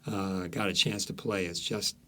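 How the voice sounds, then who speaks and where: A man speaking as an author guest in an interview, telling stories in a halting, emphatic way that repeats phrases. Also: deep voice, fairly even intonation, sharp tone. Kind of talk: conversational storytelling interview.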